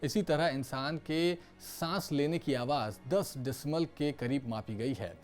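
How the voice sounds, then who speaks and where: Man reading news, dark-toned, over music. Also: mid-range voice, measured pace. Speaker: news presenter. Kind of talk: scripted news narration. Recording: music bed behind voice. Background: background music.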